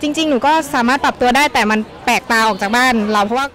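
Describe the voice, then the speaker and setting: Rapid high-voiced young woman. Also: a bit bright. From Thailand. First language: Thai. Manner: polite, hesitant, with fillers. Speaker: exchange student. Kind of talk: street interview. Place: outdoor temple event. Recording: noisy crowd outdoors.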